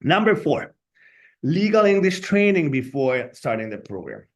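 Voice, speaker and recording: A man's voice: a little high, very animated, unhurried. Colombian man with a Spanish accent. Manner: earnest, often adds "right?" as a tag. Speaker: law school staff member. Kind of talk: informational webinar talk.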